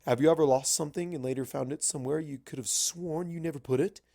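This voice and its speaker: A man's voice: a little high, quick pace, gravelly, mellow, no room sound, studio quality. A man voicing a courteous, scholarly male pony wizard from the past, formal and explanatory, in a story reading. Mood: keen, explaining.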